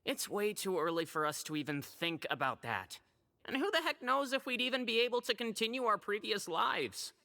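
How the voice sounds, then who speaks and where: A man's voice: sits high, very animated, normal speed, creaky, bright, forceful tone, heavily acted. A man playing an arrogant, aloof young researcher in a video game, speaking in a curt, haughty manner. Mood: scornful.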